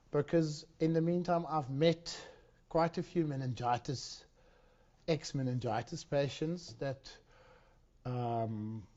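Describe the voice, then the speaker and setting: A man speaking at a conference about his own illness. He talks in a halting way and often restarts or repeats words. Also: medium pitch, mellow, highs cut off. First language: not Dutch. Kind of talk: personal talk at a conference. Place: conference hall.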